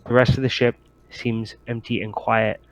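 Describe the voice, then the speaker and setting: A man's voice: mid-range voice, level tone, normal speed, rough recording. A man working as game master, narrating a sci-fi tabletop roleplaying game in descriptive, improvised storytelling.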